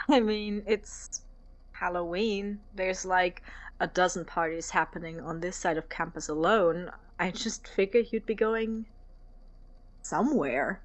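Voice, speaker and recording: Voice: mid-range voice, takes it slowly, no echo, close to an everyday voice. A performer playing a breezy, casual young male college roommate in an audio drama, offhand and easygoing. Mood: mildly puzzled. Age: young adult.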